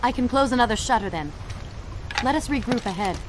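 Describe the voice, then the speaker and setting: Heavy background noise surrounds a woman playing a spirited young warrior princess. Her voice is on the high side and lively in pitch. She is playful and direct, as in a video game cutscene. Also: fairly quick, lightly acted. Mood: urgent, caring. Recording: very noisy game audio, clicks and ambience.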